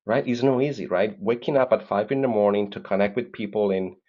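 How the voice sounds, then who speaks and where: A man's voice: on the low side, fairly quick, phone-quality sound. A man from Colombia with a Colombian Spanish accent, telling his story as an interview guest in an earnest way, often repeating words and checking in with "right?".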